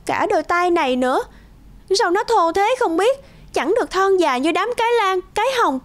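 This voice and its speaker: Very high-pitched bitter teenage girl. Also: lively intonation, moderate pace. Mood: self-pitying. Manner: whiny, dramatic, complaining. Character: self-loathing, bitter teenage schoolgirl. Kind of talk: animated moral tale monologue. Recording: music behind the voice.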